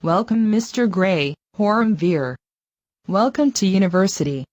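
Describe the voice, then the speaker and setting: A man's voice: high voice, lively intonation, unhurried, darkish tone, dry recording, clean studio sound, voice pushed up. A man doing a stiff robotic gate computer. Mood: formal. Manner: stiff, mechanical.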